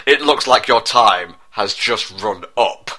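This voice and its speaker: A man's voice: mid-range voice, slow, pushed and bright. A man voicing a swaggering macho cartoon cat hero, tossing out pompous one-liners in stilted broken English. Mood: cool triumph.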